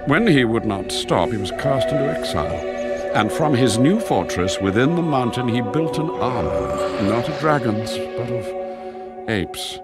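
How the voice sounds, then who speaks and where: An older man voicing an ancient, wise dragon keeper of books in a video game, speaking gravely and theatrically with drawn-out pauses. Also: mid-range voice, animated, lightly acted. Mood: ominous storytelling. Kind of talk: video game cutscene acting, solemn storytelling. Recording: loud music behind the voice. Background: loud cinematic game music.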